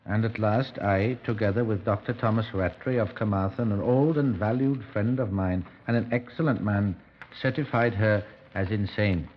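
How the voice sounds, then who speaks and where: An actor playing a pompous, deliberate country doctor with formal, hesitant phrasing in a 1950s radio drama. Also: male voice, lowish voice, a little hiss or hum, natural voice. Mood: confiding. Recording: dull old 1950s radio recording.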